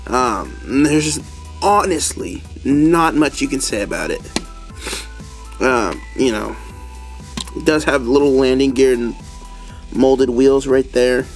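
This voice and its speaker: Young man, raspy from a cold, casual toy-review host, fairly high voice, faint music behind. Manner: casual, chatty, with filler ums and laughs. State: hoarse from a cold.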